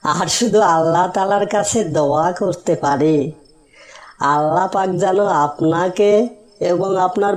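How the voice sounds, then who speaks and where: A woman's voice: mid-range voice, darkish tone, natural voice. A woman voicing a polite, sympathetic village man with a respectful, earnest manner in a cartoon story. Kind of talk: cartoon moral story dialogue.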